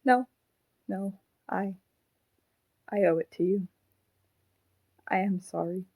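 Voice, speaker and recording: Woman as slow, halting haunted marionette. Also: mid-range voice, dark tone, no room sound. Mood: sad, confessing. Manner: halting, pleading, earnest. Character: sad, clingy haunted marionette, ghost of a young boy. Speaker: voice actor in a fan audio drama. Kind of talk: dramatic fan audio drama acting.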